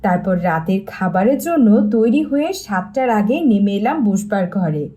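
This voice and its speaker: A woman's voice: medium pitch, lively intonation, unhurried, dark tone. A woman reading a mystery story as scripted audiobook narration.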